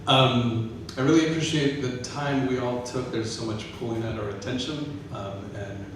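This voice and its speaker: A very echoey, noisy recording of a man speaking informally to an audience. His voice is medium in pitch and sits well away from the microphone, like a reader opening a live event. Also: normal speed. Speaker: poet and English professor. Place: reverberant event room with an audience. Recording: very echoey room, distant mic, noisy.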